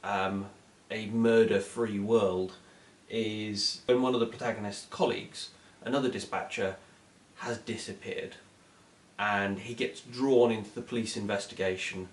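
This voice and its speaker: A man's voice: lowish voice, steady tone, a little slow. Distant, echoey man, hesitant book reviewer. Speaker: book reviewer co-hosting short fiction recommendations. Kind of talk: casual book chat. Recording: echoey room, distant from mic.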